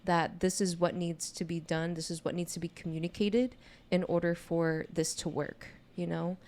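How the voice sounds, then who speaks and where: A young adult woman with a naturally low voice chats casually on a podcast, speaking in a candid, informal way peppered with "you know". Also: fairly even intonation, moderate pace, a little mellow. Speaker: podcast co-host. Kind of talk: casual conversational podcast chat.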